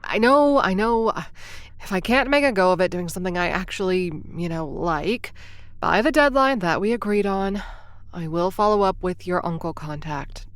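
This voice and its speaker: A woman's voice: lowish voice, animated, moderate pace, dry recording, close to an everyday voice. A woman narrating and playing a wry, anxious would-be influencer close to thirty, full of rambling self-deprecating asides. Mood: placating. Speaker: narrator and protagonist. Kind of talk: first-person audio drama narration.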